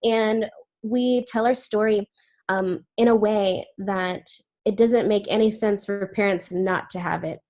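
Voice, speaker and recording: A woman's voice: mid-range voice, fairly even intonation, unhurried, darkish tone. A woman answering questions in a webinar over a video call, chatty, with many fillers and restarts. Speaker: webinar speaker.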